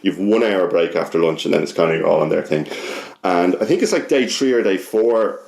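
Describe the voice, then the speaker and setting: A man's voice: deep voice, fairly quick, creaky, a little mellow, reverberant. A young Irish man telling a personal story casually as a podcast guest, sweary, rambling and often interrupting himself.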